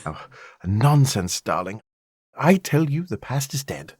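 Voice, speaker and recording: An actor playing a genteel, complacent, easily affronted gentleman husband in a period radio drama, with a polite, condescending manner. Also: mid-range voice, very animated, a little slow, a little hiss or hum. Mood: dismissive.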